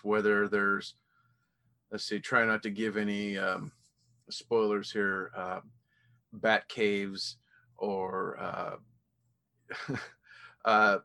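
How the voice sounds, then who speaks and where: A man with a fairly low voice chats as a podcast host. He is enthusiastic and easily amused, keeping the interview casual and conversational. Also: a bit bright.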